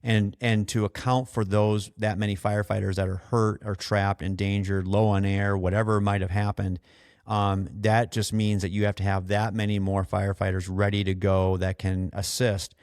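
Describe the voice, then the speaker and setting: A man hosting a podcast as an experienced firefighter, explaining things earnestly in a conversational, halting way with repeated and restarted phrases. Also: lowish voice, little pitch movement, a little mellow, smooth, no echo, studio quality.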